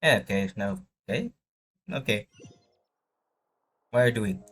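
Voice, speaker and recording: A man's voice: lowish voice, expressive, unhurried, fairly bright tone, slightly rough. A man live streaming a game with casual commentary, muttering reactions to himself as he plays.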